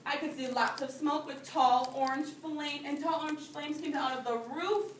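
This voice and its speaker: A woman reading a picture book aloud to young children as their teacher, pausing to explain things in simple phrases. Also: high-pitched, fairly even intonation. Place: indoor classroom. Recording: roomy, distant microphone with background noise.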